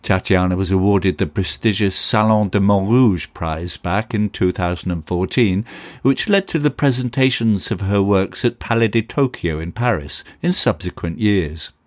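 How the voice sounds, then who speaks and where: Low-voiced podcast host. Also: male voice. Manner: measured, polished presenter delivery. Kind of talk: scripted narration and interview.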